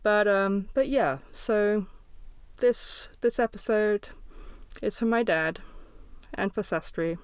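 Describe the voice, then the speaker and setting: A woman's voice: medium pitch, slow pace, clear, resonant tone, dry recording. A woman hosting a podcast, talking in a reflective, candid way with frequent ums and restarts. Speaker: podcast host. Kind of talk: podcast intro and interview.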